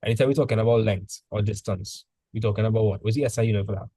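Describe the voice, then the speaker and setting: A man's voice: fairly low pitch, very fast, warm tone, husky, no echo. A male teacher tutoring students in a casual session, full of "like" fillers, quizzing them with questions as he goes.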